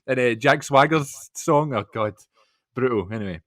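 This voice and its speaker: A man's voice: mid-range voice, moderate pace, no echo, studio quality. A young man co-hosting a podcast, chatting in casual, informal banter about things he is a fan of. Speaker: podcast co-host.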